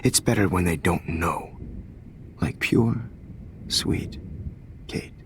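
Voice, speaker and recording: An actor playing a smug, menacing male teacher and killer in a video game, condescending and deliberate in manner. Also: low-pitched, slight background noise. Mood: cold taunting. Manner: smooth, condescending, taunting, deliberate. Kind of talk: naturalistic video game voice acting.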